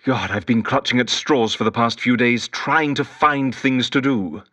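A man's voice: medium pitch, normal speed, fairly bright tone, natural voice. An actor playing a lonely, eccentric male detective who rambles fussily and whimsically into an audio diary in an audio drama. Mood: bored, wistful. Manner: fussy, whimsical, wistful, digressive. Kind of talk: audio drama monologue as spoken journal.

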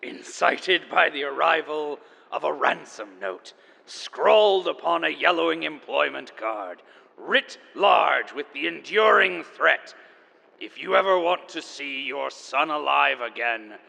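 An actor playing a grandiose, theatrical chronicler enduring after the apocalypse, pompous and melodramatic in an audio drama monologue. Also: male voice, fairly high pitch, expressive, slow, creaky, pushed and bright, big-room sound, exaggerated voice. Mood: ominous, storytelling. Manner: pompous, melodramatic, savoring each word.

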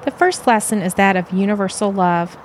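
A woman's voice: mid-range voice, brisk, a little hiss or hum, close to an everyday voice. A woman playing an earnest, serene alien envoy in an audio play, with stilted, formal phrasing.

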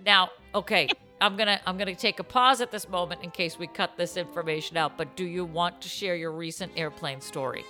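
A woman's voice: lowish voice, fairly quick, few pauses, bright, forceful tone, faint music behind. A woman hosting a podcast in playful, casual chat, teasing and effusive as she talks. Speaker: podcast host.

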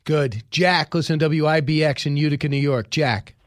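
A male radio host talking briskly and conversationally, giving opinions and taking calls. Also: a little high. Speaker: radio talk show host. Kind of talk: talk radio.

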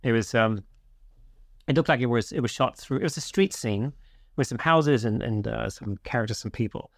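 A man's voice: mid-range voice, lively intonation, normal speed, no echo, clean studio sound. A middle-aged man hosting a podcast in a casual, rambling, anecdotal way. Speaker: podcast host. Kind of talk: casual conversational interview.

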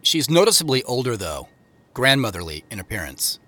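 A man's voice: medium pitch, very animated, fairly quick, bright, forceful tone. An actor playing a reflective man narrating his own story in a first-person audio drama. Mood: wry, surprised. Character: Joseph, reflective male narrator returning to old home.

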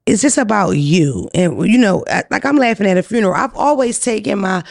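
A woman's voice: mid-range voice, expressive, brisk, creaky, no echo, studio quality. A young adult woman, a comedian, telling brash, profane stories in casual chat. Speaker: comedian guest.

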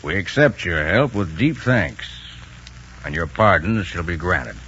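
A man's voice: low-pitched, expressive, measured pace, background noise. An actor playing a grateful, fatherly American general in a 1940s radio drama, formal at first and hearty later. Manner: formal and solemn, then hearty. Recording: muffled old radio broadcast with music.

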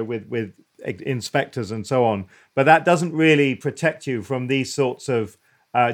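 A male interviewer with an ordinary mid-pitched voice. He speaks in a thoughtful, conversational way, building his points with asides and "you know". His phrasing is articulate and easy. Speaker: podcast host, interviewer.

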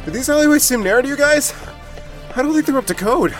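A man's voice: extremely high pitch, expressive, quick pace, a bit bright, faint music behind. A man playing an earnest, fussy young guardsman in a fantasy audio drama.

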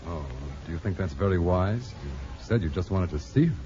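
A cautious husband, low and mellow. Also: male voice, animated, soft background music. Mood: mild, careful. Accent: American. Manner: measured, reasoning. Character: mild, cautious, practical-minded husband. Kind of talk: 1950s American radio drama acting. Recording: muffled, noisy old radio broadcast.

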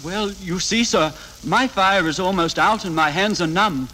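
An actor playing a meek, shivering, underpaid clerk, pleading deferentially in old-time radio style. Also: male voice, high-pitched, measured pace, pushed and bright, full character voice, low-quality recording. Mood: timid, pleading. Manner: timid, pleading. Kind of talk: 1940s radio acting. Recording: old radio recording with faint hiss.

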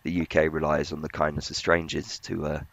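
A man giving conversational market analysis as a financial journalist, in a hesitant, halting way. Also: a bass voice, monotone, gravelly, a bit bright, muffled recording.

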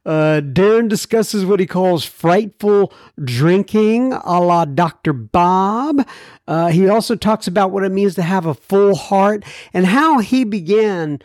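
A folksy American man hosts a podcast in a high-pitched voice. He rambles chattily through his introductions and questions, and the recording is close and dry. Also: lively intonation, slow, a little mellow, dry recording. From United States. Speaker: podcast host. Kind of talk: casual podcast intro and interview.

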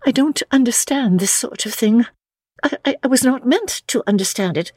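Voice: mid-range voice, animated, moderate pace, darkish tone, no room sound, studio quality, voice pushed up, natural voice. A performer voicing an anxious, polite young woman who stammers and gasps in distress, as in a dramatic audiobook. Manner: stammering, gasping. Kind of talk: dramatic audiobook character reading.

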